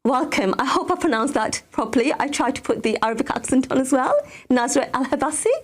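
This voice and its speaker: A woman hosting an interview, her voice croaky from a cold, speaking politely and thanking her guest often. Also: high-pitched, quick pace. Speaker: host. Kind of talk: hosted interview.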